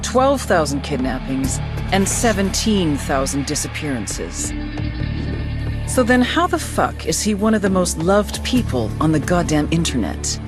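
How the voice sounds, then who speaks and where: A woman playing a blunt, cynical American intelligence officer giving a scripted briefing with dramatic pauses. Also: low voice, sing-song, over music. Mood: exasperated. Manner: wry, profane, dramatic pauses.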